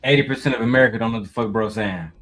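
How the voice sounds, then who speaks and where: A man's voice: mid-range voice, rapid-fire, a bit bright, soft background music. A man co-hosting a podcast, blunt and joking, talking in slang and swearing freely. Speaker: podcast co-host. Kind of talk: casual banter.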